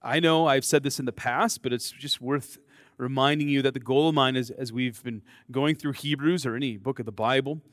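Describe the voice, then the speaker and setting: Casual American preacher with a dry sound. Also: male voice, medium pitch, steady tone, brisk, dry recording. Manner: conversational, self-deprecating, frequent fillers and restarts. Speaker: pastor. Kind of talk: sermon.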